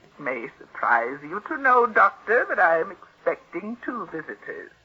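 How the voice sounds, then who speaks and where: A stubborn, wealthy old recluse in an old-time radio drama. Her voice is husky and somewhat low-fi on a muffled vintage recording. She is curt, imperious and suspicious of everyone. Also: big pitch swings, normal speed, sharp tone, heavily acted. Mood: cool, appraising. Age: older. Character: bitter, stubborn, reclusive rich old invalid aunt. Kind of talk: 1940s radio acting.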